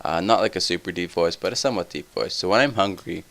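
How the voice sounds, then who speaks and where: A tired young man with a somewhat deep voice, rambling through casual gameplay commentary full of tangents. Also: fast talker, fairly bright tone. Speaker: let's play host.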